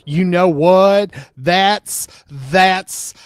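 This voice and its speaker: Woman acting slow, stern lawyer. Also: fairly low pitch, dry recording, clean studio sound. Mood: stern, irritated. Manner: deliberate, scolding. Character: stern, irritated opposing lawyer in a deposition. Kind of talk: amateur dramatic reading of a deposition.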